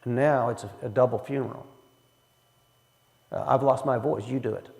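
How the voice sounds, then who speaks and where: A man's voice: mid-range voice, steady tone, moderate pace, vocal fry, darkish tone, in a large hall. A man leading a church Bible study in a folksy way, asking the room questions as he teaches. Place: church hall.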